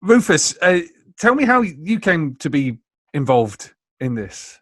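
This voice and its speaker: A man's voice: on the high side, sing-song, unhurried, fairly bright tone, dry recording. Hesitant male interviewer, dry sound. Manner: hesitant, stammering repetitions. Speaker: podcast host, interviewer. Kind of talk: conversational interviewing.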